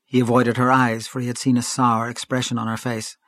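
A male narrator reads literary fiction in a measured, even way, his pitch sitting in a middle register. The recording is close and dry with no room sound. He keeps a calm, steady storytelling pace. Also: no room sound. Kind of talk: audiobook reading of literary fiction.

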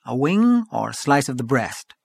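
A hearty gentleman in a storybook, voiced by a man. He is courteous and animated, eager to be of service. The sound is close and dry. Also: mid-range voice, moderate pace, creaky, breathy edge, dry recording, heavily acted. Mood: genial.

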